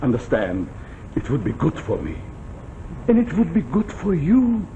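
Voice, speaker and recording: A domineering military general, blunt and insistent. His delivery is unhurried but swings widely in pitch, in vintage 1940s TV drama style. The soundtrack is dull and aged. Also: wildly swooping pitch, mellow, exaggerated voice. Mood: pressing, seductive. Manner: blunt, commanding, pressing. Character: arrogant, domineering wartime general, an insistent seducer. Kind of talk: 1940s television drama acting. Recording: muffled, noisy 1949 television soundtrack.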